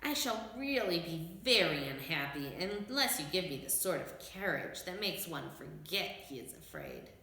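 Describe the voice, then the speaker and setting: A woman's voice: lowish voice, sing-song, moderate pace, hardly any pauses, pushed and bright, heavy reverb, exaggerated voice, low-quality recording. A woman voicing a cowardly storybook lion, worried and insistent as it begs for courage. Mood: anxious, pleading.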